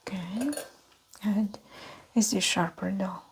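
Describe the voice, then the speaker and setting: A woman performs an ASMR medical roleplay in a soft whisper at a middling pitch. She speaks very slowly, giving gentle, methodical instructions and observations like a doctor at an exam. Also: fairly even intonation, a little hiss or hum.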